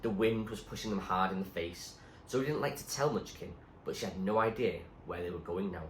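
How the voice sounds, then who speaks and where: A man reading a story aloud in an expressive, suspenseful narrating style. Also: deep voice, a little fast. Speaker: reader, teacher. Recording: echoey room with faint background noise.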